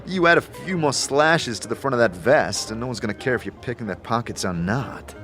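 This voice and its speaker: Man playing a casual, wry adventurer with animated pitch. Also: a little fast, soft background music. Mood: teasing. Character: wry adventurer man, teasing, easily flustered. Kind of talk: audio drama role-play.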